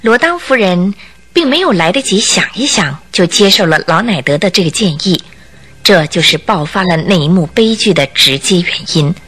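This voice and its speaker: A woman narrating a Chinese radio drama, telling the story in a measured way with theatrical emphasis. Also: fairly low pitch, animated, fairly bright tone, slightly rough. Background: music.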